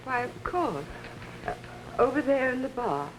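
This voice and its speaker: An actress playing a conductor's gracious, candid and faintly uneasy wife in a 1950s television drama. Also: female voice, sits high, very animated, measured pace, background noise. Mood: gracious, guarded.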